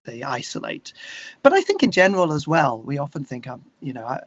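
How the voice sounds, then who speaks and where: A middle-aged man speaking earnestly and haltingly as an interview guest, reflecting in a conversational way with emphatic stresses. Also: mid-range voice, wildly swooping pitch, no room sound. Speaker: guest, singer-songwriter. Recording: slightly dull, lo-fi conversation audio.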